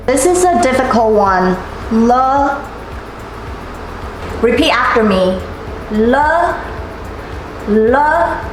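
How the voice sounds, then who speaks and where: A woman in her thirties teaches sounds at home with a bright, playful energy and clear repetition. Her voice sits high. The room is very echoey, and soft music plays underneath. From Taiwan. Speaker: Mandarin teacher. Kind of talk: scripted language lesson. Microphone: camera at a distance, seated facing it.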